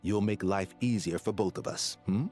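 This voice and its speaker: A man's voice: fairly low pitch, normal speed, loud music behind the voice, close to an everyday voice. A man playing a weary, officious gatekeeper in a video game, with formal, bureaucratic phrasing. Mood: dismissive, formal. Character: officious, weary male gatekeeper guard, curt and put-upon. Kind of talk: video game character acting.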